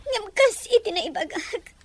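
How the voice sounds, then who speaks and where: Woman acting very high, plaintive young woman. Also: lively intonation, a little slow. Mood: tearful, resigned. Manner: plaintive, emotional. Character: gentle, poor young woman sacrificing her love. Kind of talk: melodramatic radio-style drama acting.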